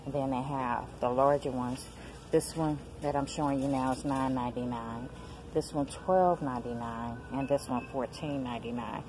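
A woman, filming a casual shopping vlog on a handheld phone, chatting excitedly to her viewers. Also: rumbling low, moderate pace, music in the background, rough recording. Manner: chatty, excited exclamations, addresses viewers as y'all and girl. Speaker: vlogger. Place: large discount store aisles, indoors. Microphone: handheld phone camera.

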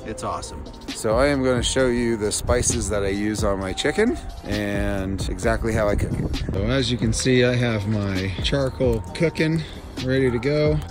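A man's voice: mid-range voice, moderate pace, over music. A man in his forties talking casually and matter-of-factly to a handheld camera outdoors, explaining things in a camping vlog. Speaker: outdoor vlogger. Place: outdoors at a snowy campsite.

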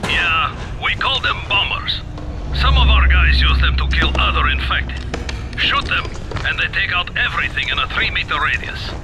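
An actor playing a brusque, cynical mercenary contact who gives curt orders in a video game cutscene. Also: male voice, fairly high pitch, expressive, normal speed, over loud music, natural voice. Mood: curt, businesslike. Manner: curt, instructing.

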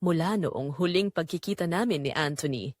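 A woman narrating a scripted first-person story in a confessional, dramatic way with deliberate phrasing. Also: sits low, dry recording, clean studio sound.

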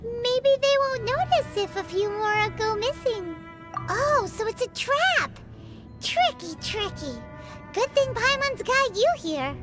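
A tiny floating fairy girl from a video game, extremely high and squeaky. She is chirpy, greedy and excitable, swinging widely in pitch, over prominent music. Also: heavily acted. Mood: awed, scheming. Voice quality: squeaky, childlike. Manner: excitable, refers to self in third person. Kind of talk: video game character acting.

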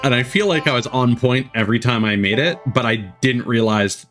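A man's voice: mid-range voice, fairly quick, a bit bright, soft background music. A man hosting a casual reaction stream, chatting and commenting with sarcasm and plenty of swearing. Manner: sarcastic, foul-mouthed. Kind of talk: casual chat, live commentary. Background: game trailer audio.

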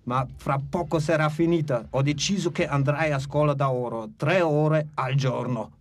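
An actor dubbing a stern, commanding male dinosaur father in a cartoon, gruff and scolding. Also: a little high, normal speed, close to an everyday voice.

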